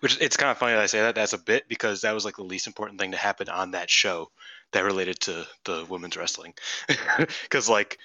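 Congested man, bright dry voice. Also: on the low side, steady tone, fairly quick, no echo. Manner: rambling, hesitant, swears casually. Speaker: podcast co-host. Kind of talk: casual chat.

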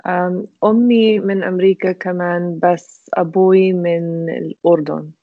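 A woman's voice: lowish voice, fairly even intonation, rapid-fire. A woman from California answering simple interview questions as a language student, over a thin phone-like connection. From California, United States.

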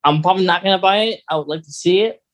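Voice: mid-range voice, rapid-fire, fairly bright tone. A podcast co-host chatting casually about fan topics, thinking aloud in a hesitant, rambling way.

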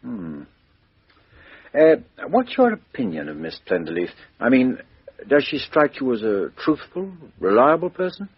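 Lively, husky British police inspector. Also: male voice, mid-range voice, unhurried, no echo, heavily acted. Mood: probing. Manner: businesslike, questioning, hesitant fillers. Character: brisk, courteous male English police inspector, doggedly questioning. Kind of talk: 1950s radio mystery acting. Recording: muffled 1950s radio drama recording.

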